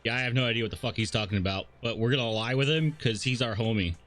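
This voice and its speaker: A man's voice: medium pitch, fast talker, fairly bright tone. A man livestreaming a game in a casual, irreverent way, slangy and sweary as he reads dialogue and reacts. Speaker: streamer. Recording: game music behind the voice.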